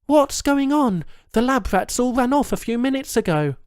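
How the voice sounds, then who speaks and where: A man's voice: very high voice, animated, normal speed, darkish tone, clear, resonant tone, dry recording, studio quality, pitched above the performer's own voice. A man voicing a blunt, sardonic captive woman journalist in an audiobook. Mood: tense. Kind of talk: audiobook character dialogue.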